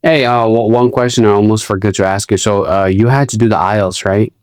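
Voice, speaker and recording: Man hosting, halting friendly questions. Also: on the low side, fairly even intonation, darkish tone, no room sound, studio quality. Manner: friendly, hesitant questions with many fillers. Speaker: host. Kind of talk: casual interview chat.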